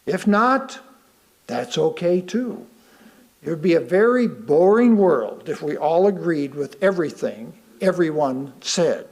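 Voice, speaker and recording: A man reading a sermon aloud to a congregation, deliberately, pausing between short phrases. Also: on the high side, expressive, darkish tone. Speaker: guest speaker reading a sermon.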